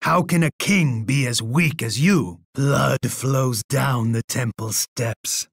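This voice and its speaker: An actor playing a proud, bloodthirsty and unhinged Aztec emperor in a video game, with a husky voice and a pompous manner. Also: male voice, medium pitch, slow pace, no room sound, studio quality, heavily acted. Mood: erratic, despairing. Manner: pompous, speaks of himself in third person. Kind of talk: video game character voice lines.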